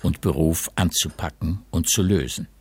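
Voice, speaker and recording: A man's voice: low voice, moderate pace, darkish tone, husky, no echo. A man narrating a literary radio drama as storyteller.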